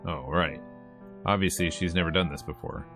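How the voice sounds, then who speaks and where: Low-pitched man reading a game aloud. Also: fairly even intonation, normal speed, over music. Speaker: streamer reading a visual novel aloud. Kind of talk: game let's-play, reading narration aloud.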